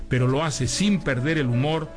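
Presentador literario de radio, hombre, con música. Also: male voice, mid-range voice, moderate pace, music in the background. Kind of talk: scripted literary introduction for radio.

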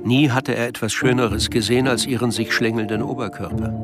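A man narrates a literary radio drama in a medium-pitched voice. He reads scripted prose, and music plays clearly behind his words. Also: fairly even intonation, brisk.

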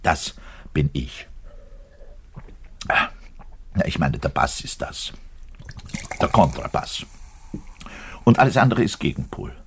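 An actor playing a garrulous, self-important double bass player in his thirties, delivering a digressive radio play monologue straight to the listener with halting self-corrections. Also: very animated, slight background noise, heavily acted. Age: 30s. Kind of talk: conversational theatrical monologue addressed to the listener.

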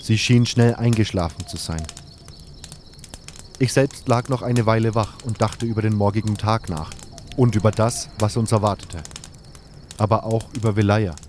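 A man's voice: lowish voice, moderate pace, pauses often, darkish tone, slight background noise, close to an everyday voice. Man as reflective adventurer over nature sounds. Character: nameless male adventurer hero recounting his story, tender. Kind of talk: scripted first-person fantasy audio drama narration. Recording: outdoor nature sounds behind voice.

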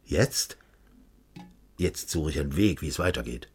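Radio drama: shadowy ex-secret police official, very deep rough-husky voice, rhetorical, controlled, menacing. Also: male voice, animated, a little mellow, heavily acted. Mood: measured, probing. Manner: measured, formal, rhetorical. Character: secretive ex-Stasi official, composed, persuasive, quietly menacing. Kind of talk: radio crime drama acting.

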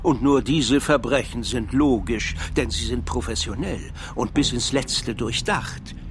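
An actor playing a reflective, philosophical man in a radio crime drama, arguing in a pensive, articulate and measured way. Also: mid-range voice, noisy background, close to an everyday voice. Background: faint background music.

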